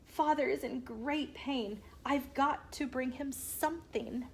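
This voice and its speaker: A woman reads as an earnest young son in a children's storybook. His voice is fairly high and exclaiming, with unhurried words and an anxious edge. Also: breathy edge, exaggerated voice, low-quality recording. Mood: worried, pondering.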